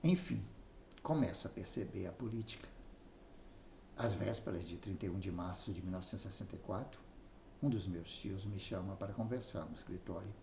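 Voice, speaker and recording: A man with a Brazilian accent narrating a written memoir aloud in an expressive reading style. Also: low-pitched, a little fast, gravelly. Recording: roomy echo, faint background noise.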